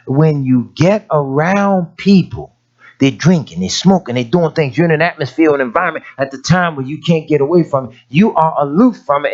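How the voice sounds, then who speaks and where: A man preaching a sermon in a raspy voice, telling everyday stories and rising to emphatic points. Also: on the high side, a little mellow, dull audio. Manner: folksy storytelling preaching, rising to emphatic peaks.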